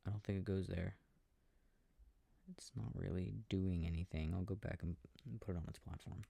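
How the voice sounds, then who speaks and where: A man playing an affectionate, easily confused boyfriend in a soft sleep-aid roleplay, muttering casually and hesitantly to himself over a video game, close to the microphone. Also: low-pitched, fairly even intonation, moderate pace, creaky, no echo. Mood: puzzled, absorbed. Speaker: audio roleplay performer. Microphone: close microphone, dry. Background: controller clicking sounds.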